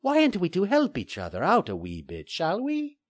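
Guy putting on a big sneaky pirate, growly and sneering, pirate-talk, voice swoops high and low. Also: wildly swooping pitch, normal speed, no echo, clean studio sound. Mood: wheedling. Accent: old-style pirate dialect. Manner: jumps between high mocking lilts and low growls. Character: bulky scheming male pirate sailor, mocking and menacing.

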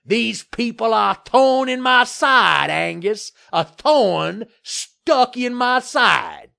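Loud, blustering bully developer. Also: male voice, extremely high pitch, animated, gravelly, voice pushed up, exaggerated voice. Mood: angry, complaining. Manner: loud, growling, puffing, drawn-out indignant delivery. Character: blustering, bullying male land developer, cigar-chomping.

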